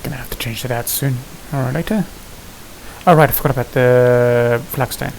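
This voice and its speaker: Gravelly, muttering gamer over steady hiss. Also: medium pitch, normal speed. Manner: muttering to self, thinking aloud. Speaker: gamer hosting a gameplay series. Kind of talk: casual gameplay commentary. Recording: hissy, noisy home recording.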